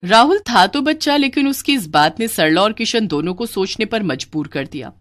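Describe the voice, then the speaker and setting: Woman narrator for a moral story, scripted, medium pitch, very lively intonation. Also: normal speed.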